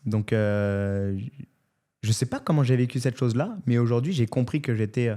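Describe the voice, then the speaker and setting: Animated, candid French comedian telling his story. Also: mid-range voice, moderate pace. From France. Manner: animated and candid, often adds "tu vois". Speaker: comedian guest. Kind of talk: casual interview storytelling.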